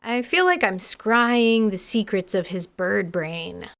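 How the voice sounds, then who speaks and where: A woman's voice: medium pitch, sing-song, slow pace, vocal fry, a bit bright. A woman hosting as a dungeon master, narrating a scripted podcast in an articulate, slightly wry way.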